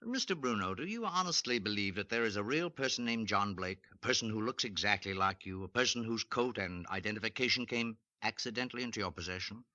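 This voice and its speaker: An actor playing a calm, questioning psychiatrist in a 1940s radio drama, speaking in a measured, lecturing way. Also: male voice, lowish voice, dry recording. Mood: probing. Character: calm, probing male psychiatrist, middle-aged. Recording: dull lo-fi vintage radio recording.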